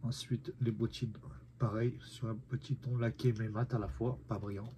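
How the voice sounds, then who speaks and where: A man's voice: fairly low pitch, flat delivery, moderate pace, warm tone, slight background noise. A man with a head cold, casually reviewing a collectible figurine for viewers, hesitating often. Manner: hesitant, many "euh" fillers, speaks to viewers. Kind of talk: casual unboxing vlog.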